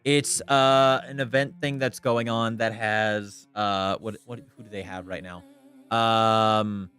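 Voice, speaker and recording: A man streaming, chatting casually and candidly with a clear, smooth voice, rambling and swearing freely. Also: fairly low pitch, steady tone, takes it slowly, fairly bright tone, soft background music. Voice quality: clear voice without gravel. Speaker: VTuber streamer. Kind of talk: casual livestream chat.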